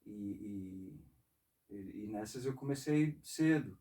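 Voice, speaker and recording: Mellow-voiced man in his forties, halting. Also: fairly low pitch, expressive, distant mic. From northeastern Brazil. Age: 40s. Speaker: interview guest, saxophonist and composer. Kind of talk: casual reflective interview talk. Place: music studio.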